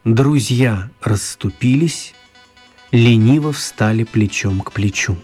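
A male narrator tells a children's radio story expressively. His voice is slightly husky and mid-pitched, with music underneath. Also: slow, darkish tone.

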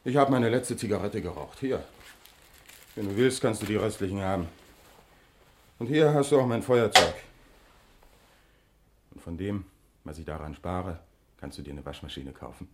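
An actor playing a weary, apologetic tanker driver and husband in a 1960s German radio drama. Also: male voice, fairly low pitch, animated, moderate pace, long pauses, slight background noise, lightly acted. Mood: sheepish, subdued.

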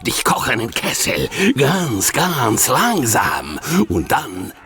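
A man playing a vengeful, crazed old warlord in a radio play, gravelly and menacing, with drawn-out broken phrases. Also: mid-range voice, huge pitch swings, bright, forceful tone, full character voice. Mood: gloating, furious.

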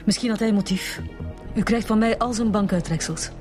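A cooperative, determined woman from a bank acted by a woman in a radio drama, with a Flemish accent. She speaks politely at a middle pitch over clear music. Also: moderate pace, lightly acted. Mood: earnest. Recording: music behind the voice.

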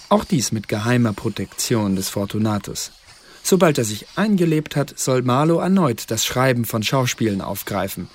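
A man's voice: mid-range voice, slightly rough. An actor playing an officious male secret-service bureaucrat, dictating reports formally and precisely in a radio drama.

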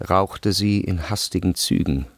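A man reading scripted literary narration for a radio play in a measured, precise way. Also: low voice, dry recording, clean studio sound.

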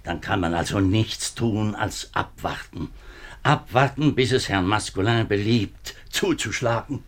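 A deep, husky portrayal of an upright minister and father under pressure. He is middle-aged and speaks in the manner of a 1950s radio play. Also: measured pace. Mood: resigned. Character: embattled, upright French government minister and father. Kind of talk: 1950s German radio drama acting.

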